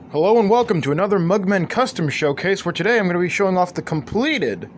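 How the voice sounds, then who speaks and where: Rambling young man, noisy homemade recording. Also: on the high side. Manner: rambling, offhand, self-deprecating. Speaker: host of a toy customizing channel. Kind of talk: casual hobby showcase chat.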